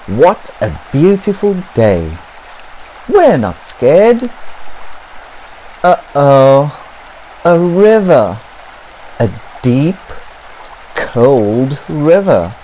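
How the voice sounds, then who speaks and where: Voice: medium pitch, big pitch swings, extremely slow, pauses often, warm tone, a little hiss or hum, rough recording. A storyteller reading a children's picture book aloud, theatrically, drawing words out and dropping into whispers for sound words.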